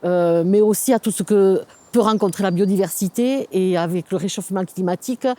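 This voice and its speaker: A woman's voice: mid-range voice, a little fast, warm tone, no room sound. A woman speaking as a local government project officer in an explanatory interview, feeling her way through phrases with some repetition.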